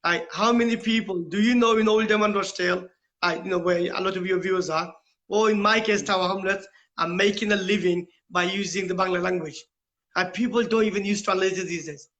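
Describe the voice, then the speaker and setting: British guy, middle aged, high forceful voice, explains stuff earnestly in an interview, keeps asking questions. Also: steady tone, a little fast. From United Kingdom. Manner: rhetorical questions, tags points with "right?". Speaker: interview guest, school principal.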